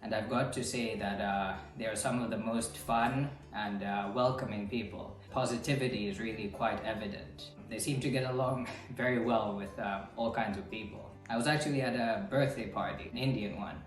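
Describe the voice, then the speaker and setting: A man from Finland giving a relaxed video testimonial with frequent fillers. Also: on the low side, moderate pace, few pauses, soft background music. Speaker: interviewee in a video. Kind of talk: casual testimonial. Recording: echoey room, from a distance.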